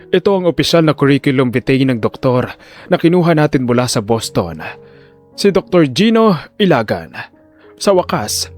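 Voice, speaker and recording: A man voicing a confident, calculating hospital director in his sixties in an audio drama. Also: fairly high pitch. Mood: eager, businesslike. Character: scheming, self-assured sixty-year-old male hospital director. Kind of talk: Filipino audio drama acting.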